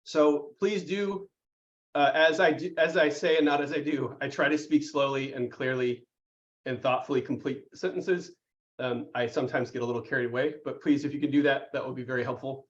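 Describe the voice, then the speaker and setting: A man's voice: mid-range voice, steady tone, fairly quick, dull audio. A man hosting a live online baking class over a video call, chatty and upbeat, with lots of fillers and puns. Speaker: host.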